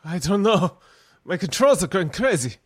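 An alarmed mutant pilot in a superhero radio play, acted by a man, calls out from the controls with big swings in pitch. The sound is close and dry. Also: sing-song, normal speed, breathy edge, no room sound, exaggerated voice. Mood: alarmed, urgent. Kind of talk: live radio-play read of a superhero script.

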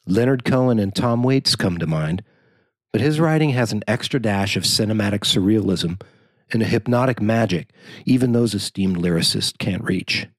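Male narrator for a written arts profile. Low register, dry close sound. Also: expressive, moderate pace, dry recording. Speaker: journalist narrator.

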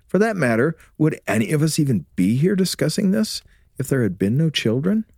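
A man playing a warm, teasing, scholarly husband and father in a radio drama, with a measured, preacherly way of explaining. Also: fairly high pitch, very animated, gravelly, husky, no room sound, clean studio sound, full character voice. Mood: earnest, instructive. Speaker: actor in an audio drama.

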